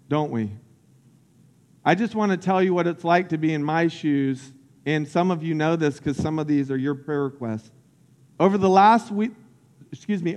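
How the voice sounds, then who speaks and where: High-voiced pastor preaching in a hall. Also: male voice, darkish tone. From Central Florida. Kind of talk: sermon.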